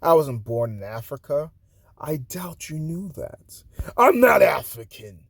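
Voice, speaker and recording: Slow, gravelly man reading his poetry. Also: fairly high pitch, big pitch swings, no echo. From Haiti. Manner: uneven delivery, swinging between loud declarations and hushed, breathy asides. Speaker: poet reading his own verses. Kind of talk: spoken-word poetry reading.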